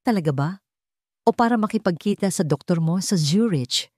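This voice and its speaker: A woman's voice: on the low side, lively intonation, normal speed, dark tone, no echo, studio quality. A woman voicing a bitter, wounded husband who accuses and commands in a mocking way, in a dramatic audio story. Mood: bitter mockery.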